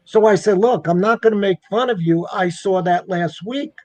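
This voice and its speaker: High-voiced man telling a story casually. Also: moderate pace, a little mellow, no echo. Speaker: guest telling a personal ghost story.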